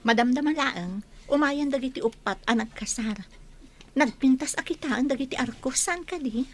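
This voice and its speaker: An actress plays an envious village gossip at a wedding. Her voice is breathy and slightly high, with an Ilocano accent. The delivery is radio comedy drama. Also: lively intonation, moderate pace. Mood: gossipy, wistful.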